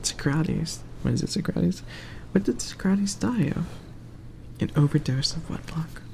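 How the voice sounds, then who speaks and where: A man reading aloud in a soft ASMR bedtime style, hushed and often slipping into a whisper. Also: on the high side, a little slow, vocal fry, a little hiss or hum.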